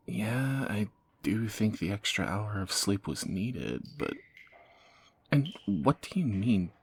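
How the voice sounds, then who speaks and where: A man playing a groggy fantasy assassin in an intimate romantic audio roleplay, husky and halting, with wry understatement. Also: on the low side, full character voice. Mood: teasing, awkward. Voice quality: gravelly, husky morning voice. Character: groggy male fantasy assassin, softening captor, flustered and teasing.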